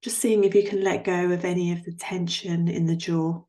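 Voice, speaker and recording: A woman guiding a yoga nidra relaxation in a soothing, gently instructing manner, leaving long pauses between phrases. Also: lowish voice, little pitch movement. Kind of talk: guided relaxation meditation.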